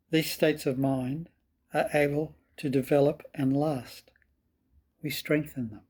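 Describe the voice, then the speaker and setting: A man with a slightly husky voice guiding a meditation in calm, measured, deliberate phrases. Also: on the high side. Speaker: meditation teacher. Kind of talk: slow guided meditation.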